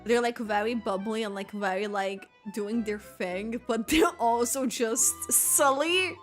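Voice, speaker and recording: A woman giving casual, excitable, rambling reaction commentary while playing a video game. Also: fairly high pitch, measured pace, soft background music.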